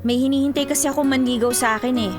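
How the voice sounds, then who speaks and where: A woman's voice: high-pitched, fairly even intonation, racing, close to an everyday voice. A Filipino actress in a radio drama playing a teasing, secretly lovelorn young woman in her twenties. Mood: wistful, confessing. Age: 20s. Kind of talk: Tagalog radio drama acting. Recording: music behind the dialogue.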